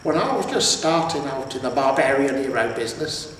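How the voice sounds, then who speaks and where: A man's voice: mid-range voice, animated, brisk, fairly bright tone, lightly acted. A man voicing an aging, world-weary barbarian hero in a storybook, with a wistful, hesitant, plain-spoken manner. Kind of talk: storybook character reading. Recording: echoey reverberant room, distant, faint hiss.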